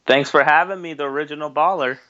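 A man's voice: fairly high pitch, fast, pushed and bright, no echo. A man from Bosnia who moved to the United States, chatting informally as a podcast guest and repeating phrases for emphasis, on slightly lo-fi audio. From Bosnia, later the United States. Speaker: podcast guest, marketing entrepreneur. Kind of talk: casual conversational interview.

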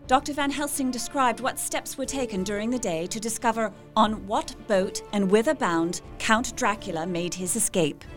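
An actress playing a composed young Victorian wife, reading meeting notes in crisp, formal speech. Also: female voice, on the high side, moderate pace, hardly any pauses, soft background music, close to an everyday voice. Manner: crisp, formal minute-taking. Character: Mina Harker, composed young Victorian wife taking minutes. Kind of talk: audio drama diary narration.

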